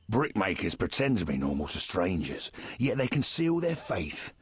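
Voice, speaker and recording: An actor voicing a scornful, dismissive local man in a game, with a husky voice and a sneering, mocking tone. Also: low-pitched, big pitch swings, unhurried, a bit bright, no room sound, studio quality, full character voice. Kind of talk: game dialogue.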